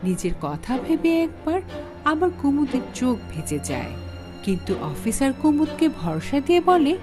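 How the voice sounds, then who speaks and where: A woman's voice: high voice, very animated, normal speed. A woman narrating a scripted horror story in a suspenseful, theatrical manner. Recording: background music under the voice.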